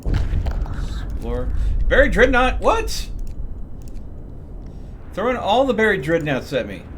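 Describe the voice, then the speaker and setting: A man with a fairly high-pitched voice chats through a live gaming stream. He talks casually and explains things step by step in a loose, rambling way. There is clear background noise behind his voice. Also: huge pitch swings, takes it slowly, a bit bright.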